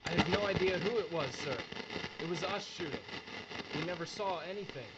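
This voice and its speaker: An actor playing a shaken soldier reporting in strained tones over a field radio in a war drama. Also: male voice, high-pitched, a little fast. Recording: muffled, very noisy radio transmission.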